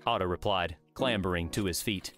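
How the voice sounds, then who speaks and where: A man's voice: sits low, a little fast, fairly bright tone, soft background music. A man reading a work of fiction aloud as scripted audiobook narration.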